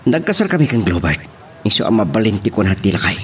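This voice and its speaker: A man's voice: on the low side, very animated, quick pace, slight background noise. A man playing a harsh, shameless father in an Ilocano audio drama. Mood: boastful, casual. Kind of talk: Ilocano radio drama acting.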